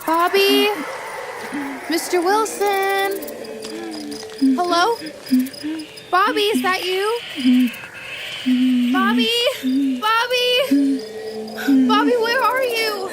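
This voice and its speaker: A woman's voice: very high-pitched, fairly even intonation, drawn-out words, pauses often, exaggerated voice. A woman playing an earnest character in a western audio drama. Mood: anxious calling. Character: earnest young runaway or rancher in post-apocalyptic western. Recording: music and background ambience behind voice.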